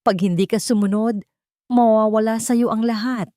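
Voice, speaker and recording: Arrogant, menacing Filipino male politician for story narration played by a woman, indignant, forceful, raised pitch. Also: fairly even intonation, moderate pace, no room sound, studio quality, close to an everyday voice. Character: arrogant, threatening male congressman husband, cornered and defiant.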